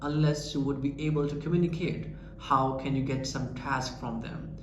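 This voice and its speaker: A man's voice: mid-range voice, fairly even intonation, normal speed, lo-fi sound. A man with a Pakistani accent teaching an English lesson, explaining earnestly and asking rhetorical questions. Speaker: English teacher and channel founder. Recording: echoey room with faint ambient noise.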